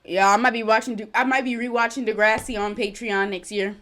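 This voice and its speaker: A woman's voice: a little high, steady tone, brisk, fairly bright tone. A woman hosting a reaction video who chats casually to her viewers. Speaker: reaction channel host.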